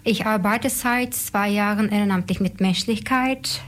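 A woman's voice: medium pitch, measured pace. A woman of thirty from Turkey, a volunteer guest, introducing herself in short, plain sentences. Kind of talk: radio interview.

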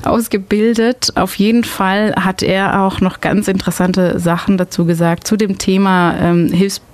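A woman's voice: medium pitch, little pitch movement, normal speed, no room sound. Hesitant woman radio host, non-native. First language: not German. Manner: hesitant, many fillers and restarts. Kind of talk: casual community radio chat. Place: radio studio.

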